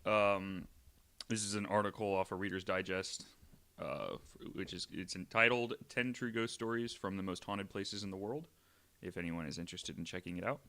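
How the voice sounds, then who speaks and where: A man's voice: low voice, moderate pace, pushed and bright. A young man co-hosting a podcast, reading a spooky article aloud with jokey asides. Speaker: guest co-host.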